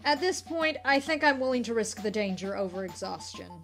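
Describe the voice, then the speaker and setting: Casting: woman as sassy teen creature girl for audio drama, dry humor, expressive intonation. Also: on the high side, brisk, faint music behind. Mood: weary, wry. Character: sassy teenage girl turned small fighting creature. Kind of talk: audio drama character acting.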